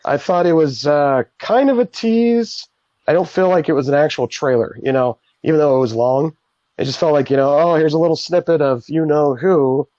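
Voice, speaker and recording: A rambling, sleazy puppet co-host, voiced by a man, talking in a mid-pitched, halting way. The sound is muffled and dry, like a home stream mic. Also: mellow, no room sound, lightly acted. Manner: rambling, halting, lots of ums. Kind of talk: live puppet comedy chat.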